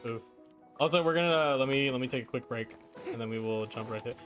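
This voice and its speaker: A young man live-streams a game with a mid-pitched voice. He reacts in a casual, offhand way and uses plenty of slang. Faint game music sits behind him. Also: fast talker, a bit bright. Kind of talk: casual game playthrough commentary.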